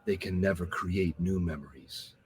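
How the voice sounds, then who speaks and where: An actor playing a solemn, devout religious official in a video game, speaking formally and gravely. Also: male voice, sits low, fairly even intonation, normal speed. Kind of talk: scripted video game voice acting.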